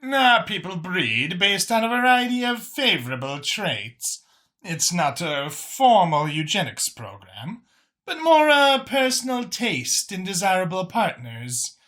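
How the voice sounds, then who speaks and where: An imperious alien councilwoman from a science fiction audiobook, acted out by a man, pitched high and swinging widely in tone. She is confident and formal, leaning deliberately on her words with a bright edge. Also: very animated, heavily acted. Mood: proud explaining. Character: imperious female alien official, confident, formal, free-thinking council chair.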